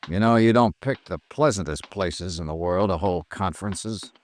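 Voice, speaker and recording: A man's voice: low-pitched, normal speed, close to an everyday voice. An American actor playing a shrewd, wry freelance insurance investigator narrating his case in a 1950s radio drama. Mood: puzzled, probing. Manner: hard-boiled, dryly wry. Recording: vintage radio recording, faint music.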